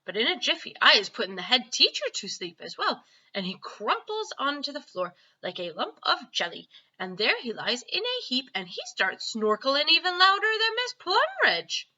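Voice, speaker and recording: A woman voicing a boastful child in a storybook, telling tales excitedly and emphatically in muddled grammar. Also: high-pitched, moderate pace, muffled recording, pitched above the performer's own voice, heavily acted. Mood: gleeful.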